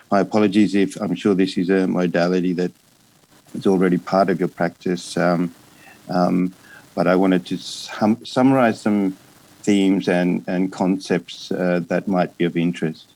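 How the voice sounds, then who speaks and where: A man in his fifties with an Australian accent gives an academic lecture in a hesitant, halting way, often pausing and breaking off words. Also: deep voice, darkish tone, rough recording. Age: 50s. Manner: hesitant, halting delivery with frequent pauses and broken-off words. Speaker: psychiatrist and addiction specialist giving a lecture.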